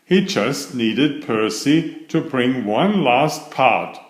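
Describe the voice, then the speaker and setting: A man reading a children's bedtime story aloud in a deliberate, expressive storytelling manner. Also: medium pitch. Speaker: storybook reader. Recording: roomy, echoey sound.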